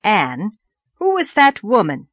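A no-nonsense older woman from a storybook , voiced by a woman reader. She is blunt, dry and impatient, firing exasperated questions with a lot of pitch movement, closely recorded. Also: wildly swooping pitch, slow, no echo, muffled recording, exaggerated voice. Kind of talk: audiobook character voice.

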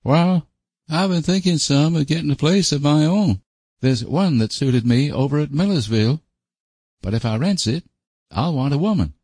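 A man's voice: a little high, expressive, moderate pace, a little mellow, breathy edge, no room sound, pitched above the performer's own voice. A man voicing a lank, solemn young farmhand suitor in a storybook, with a blunt rustic drawl. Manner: drawling rustic dialect, terse and abrupt. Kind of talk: audiobook character voice.

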